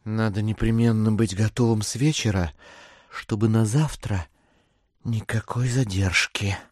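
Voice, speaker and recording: An actor playing an anxious gentleman master in an audio drama, giving brisk, peremptory orders. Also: on the low side, fairly even intonation, no echo. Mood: anxious, resolute. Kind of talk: theatrical audio drama acting.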